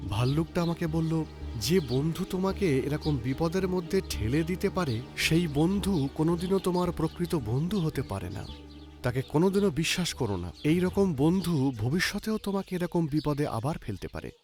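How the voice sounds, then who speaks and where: A man plays a bold young man in a children's cartoon tale. He speaks in a fairly high, very animated voice, stressing his points like a lecture. Music plays clearly behind him. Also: normal speed, natural voice. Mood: grave, reproachful. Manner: preachy, emphatic delivery. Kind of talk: animated children's story acting.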